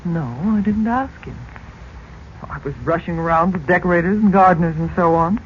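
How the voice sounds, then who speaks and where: A woman's voice: lowish voice, normal speed, natural voice. An actress playing an anxious, middle-aged widowed mother in a 1940s radio drama. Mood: uneasy, chatty. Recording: muffled, noisy old radio recording.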